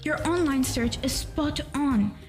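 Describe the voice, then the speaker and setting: A woman voicing a confident, teasing know-it-all young kid in an animated story. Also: high voice, measured pace. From Pakistan. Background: background music.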